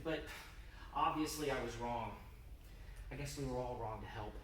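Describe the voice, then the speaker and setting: A man's voice: mid-range voice, normal speed, a bit bright, natural voice. A man voicing a bitter, scornful, fed-up middle-aged wife in a live story reading, harsh and mocking. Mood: exasperated. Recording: roomy, distant, noisy live event recording.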